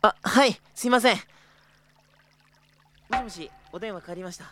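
A young tour guide who is earnest, courteous and easily thrown. The voice is light and youthful, in an audio drama recording with some clear noise behind it. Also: male voice, sits high, normal speed, pushed and bright. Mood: flustered. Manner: polite, hesitant. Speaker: tour company employee.

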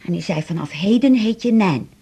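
An actress playing a wounded female patient in a radio drama, telling of herself haltingly in a husky, gravelly voice. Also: medium pitch, lively intonation, mellow. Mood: subdued, remembering. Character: wounded female patient recalling a hard childhood. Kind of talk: naturalistic Dutch radio drama acting.

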